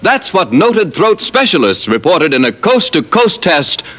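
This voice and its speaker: A man's voice: fairly high pitch, very animated, measured pace. A man announcing an old-time radio show and its sponsor. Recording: loud brass music behind voice. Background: loud brass theme music.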